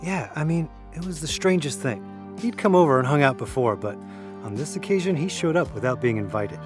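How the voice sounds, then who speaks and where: A man's voice: fairly high pitch, expressive, brisk, over music, close to an everyday voice. A man playing a knowing young man in an audio drama, talking in a casual, matter-of-fact way. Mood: brooding. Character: Zeb, knowing young man telling eerie memories.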